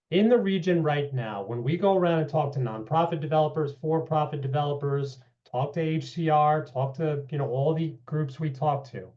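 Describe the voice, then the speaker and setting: A man with a somewhat high, mellow voice, slightly hoarse from a long day, briefing a public meeting on housing figures. He talks in a steady presenter manner with casual asides. The sound is dull and lo-fi. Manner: briefing with data, asides of okay and you know.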